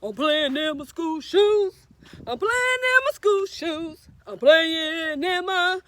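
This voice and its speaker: A woman's voice: extremely high pitch, animated, takes it slowly, fairly bright tone. A woman reading a children's storybook aloud in a sing-song, encouraging way, with emphatic repetition and questions to young listeners. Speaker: read-aloud storyteller, librarian.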